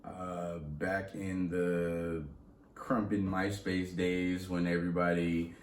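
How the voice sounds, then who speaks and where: A man's voice: low voice, slow pace, roomy sound, slight background noise. A young man from Southern California, a rapper, talking casually and animatedly in an interview, with lots of slang. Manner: slangy, animated storytelling with laughs. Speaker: rapper guest.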